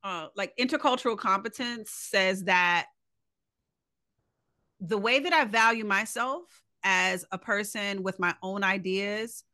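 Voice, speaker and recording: Emphatic woman with a bright voice. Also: medium pitch, measured pace. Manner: earnest and emphatic, with rhetorical questions. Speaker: podcast co-host. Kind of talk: reflective conversational monologue.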